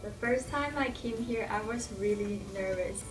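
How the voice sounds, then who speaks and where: A young woman from China, a college student, speaking in a soft, reflective way. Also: fairly high pitch, moderate pace. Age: young adult. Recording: distant mic, music underneath.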